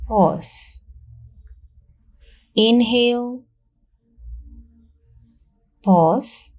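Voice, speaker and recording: Female meditation guide. Calm, soothing narration, slow delivery, warm dark tone, generous pauses. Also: fairly high pitch. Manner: calm, soothing, measured instruction with long pauses.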